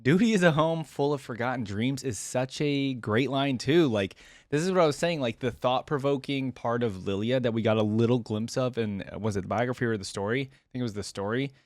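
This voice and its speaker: A man's voice: mid-range voice, brisk, no room sound, clean studio sound. A man giving casual fan reaction commentary as host.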